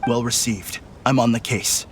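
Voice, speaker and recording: A man's voice: medium pitch, steady tone, moderate pace, fairly bright tone, slight background noise, lightly acted. An actor voicing a loyal male ninja retainer who answers in terse, dutiful lines.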